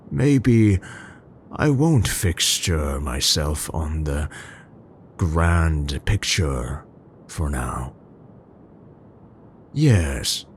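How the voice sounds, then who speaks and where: A man acts out an awkward, tender older scientist in an ASMR roleplay. His voice sits low and moves slowly, with halting, formal musings that rise and fall expressively. A faint rumbling noise lies behind him. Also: exaggerated voice. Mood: tender, hushed. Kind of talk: ASMR roleplay, intimate scripted monologue to listener.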